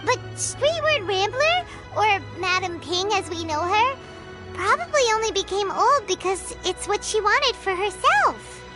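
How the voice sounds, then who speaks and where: A woman voicing a small, eager, bubbly childlike fairy companion in a video game, squeaky and chatty. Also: very animated, measured pace, heavily acted. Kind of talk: video game character acting. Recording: loud music behind the voice. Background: loud tinkling game music.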